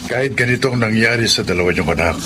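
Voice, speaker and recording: Actor as a low-voiced, sympathetic pious man. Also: fast talker, natural voice. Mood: consoling. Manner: gentle, solemn, consoling. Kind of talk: Filipino radio drama acting. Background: loud music.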